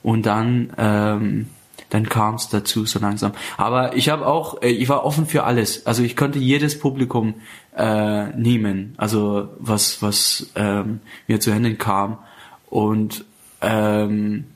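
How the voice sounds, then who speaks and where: A young man in his twenties, originally from Afghanistan, talks about his comedy career in a relaxed interview. He speaks at an average pitch and keeps breaking off, restarting his sentences and filling the gaps with hesitations. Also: takes it slowly. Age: 20s. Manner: hesitant, many fillers and restarts. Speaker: stand-up comedian guest. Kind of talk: casual interview chat.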